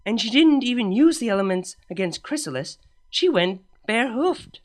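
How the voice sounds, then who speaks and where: A woman's voice: on the high side, sing-song, moderate pace, a little mellow, faint music behind, pitched above the performer's own voice. A woman reading a fan story aloud, voicing a dry, teasing younger royal pony princess who speaks matter-of-factly. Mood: sarcastic. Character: sarcastic younger royal mare princess sister.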